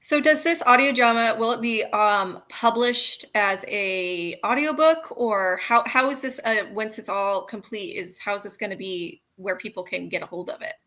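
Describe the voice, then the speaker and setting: A woman's voice: medium pitch, moderate pace, few pauses, pushed and bright. A woman co-hosting a casual podcast interview, chatting and asking questions conversationally. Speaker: podcast co-host and writer.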